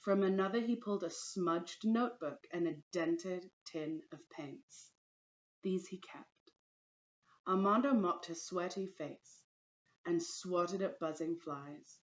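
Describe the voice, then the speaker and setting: A woman teacher reading a storybook aloud to young pupils in a deliberate storytelling manner, addressing them directly. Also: fairly low pitch, highs cut off. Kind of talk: children's storybook read-aloud.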